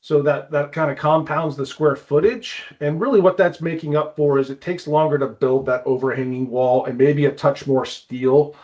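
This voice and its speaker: Guy being interviewed as some trade expert, informal, kinda halting and repeating himself, slightly muffled lo-fi sound. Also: a little high, darkish tone. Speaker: guest climbing wall consultant. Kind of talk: conversational expert explanation in an interview. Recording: dull, lo-fi audio.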